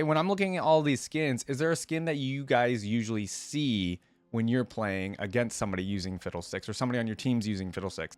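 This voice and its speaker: A man's voice: mid-range voice, dry recording. Casual man reacting in a dry close recording. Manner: conversational, thinks aloud, asks viewers questions. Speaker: reaction video host. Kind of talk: casual reaction commentary, partly reading aloud.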